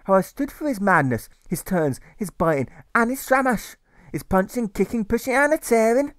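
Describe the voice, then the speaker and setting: Angry Scottish carer: high, bellowing, spiteful, exasperated audiobook character. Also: male voice, animated, moderate pace, a bit bright, no room sound, studio quality. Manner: bellowing, emphatic dialect rant.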